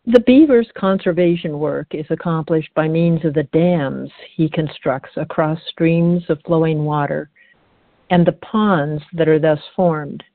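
Slow, lowish woman reading over phone-like audio. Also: no room sound. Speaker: conservation program director reading aloud. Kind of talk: scripted reading, enumerating points.

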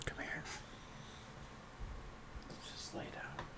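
A man playing a worried, devoted boyfriend in an intimate ASMR roleplay, speaking in a halting, tender hush that often drops toward a whisper, with a gravelly edge. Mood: soothing, loving. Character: worried, devoted boyfriend comforting his partner. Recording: steady fan noise behind the voice. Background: whirring fan.

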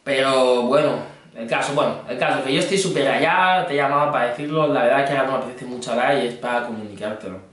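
A man's voice: mid-range voice, fast, few pauses, a bit bright. Young male, casual phone chat, mid-range voice, heavy room echo, off-mic. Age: young adult. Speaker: prank caller, fitness trainer. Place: indoor green screen studio. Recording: very echoey room, distant mic.

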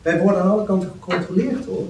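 A man's voice: high voice, steady tone, fast talker, a little mellow. A man playing a reserved, sensible young banker in a matter-of-fact manner at a script table read. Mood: defensive. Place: meeting room. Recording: roomy, distant mic, faint noise.

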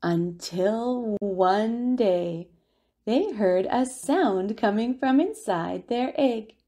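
A woman reading a children's picture book aloud as a storyteller, with expressive, deliberate delivery and drawn-out words. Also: medium pitch. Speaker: children's storyteller at a family centre.

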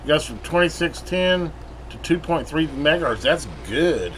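A man's voice: on the high side, normal speed. An American man giving casual, rambling, plain-spoken commentary as he demonstrates electronics repair in a metal workshop building. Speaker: radio repair video host. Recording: steady background hum and radio noise.

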